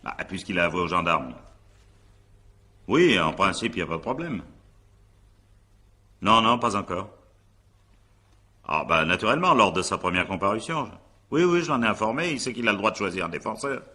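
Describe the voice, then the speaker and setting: Deep, forceful, husky examining magistrate. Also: male voice, animated, moderate pace, pauses often, full character voice. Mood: deferential, businesslike. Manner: authoritative, clipped, dryly sardonic questioning. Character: brisk, impatient French male examining magistrate, dryly ironic. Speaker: actor in radio drama. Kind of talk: French radio drama acting.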